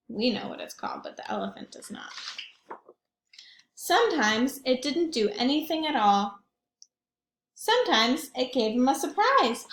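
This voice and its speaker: A woman's voice: high-pitched, a bit bright, reverberant. A woman reading picture books aloud to young children, expressively and with questions for her listeners. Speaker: children's read-aloud host, teacher or librarian.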